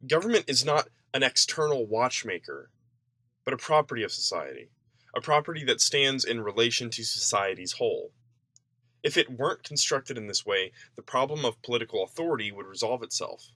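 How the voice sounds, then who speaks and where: A man's voice: medium pitch, animated, fairly quick, bright, forceful tone, dry recording, studio quality. A man reading a written essay aloud in a formal, declarative way, as scripted narration. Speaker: reader of an essay.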